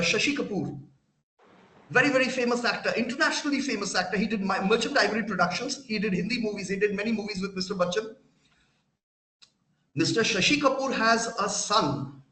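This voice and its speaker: Indian English man, fairly high voice, lecturing a webinar with insistent emphasis, roomy echo. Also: pushed and bright. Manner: emphatic, preachy, asks the audience rhetorical questions. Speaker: webinar guest speaker. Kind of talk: motivational lecture to students.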